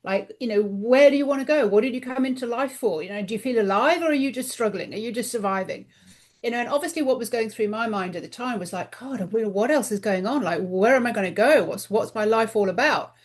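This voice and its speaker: A woman's voice: on the high side, fast. A middle-aged woman, a guest author in a casual podcast interview, talking earnestly and voicing her own inner thoughts aloud.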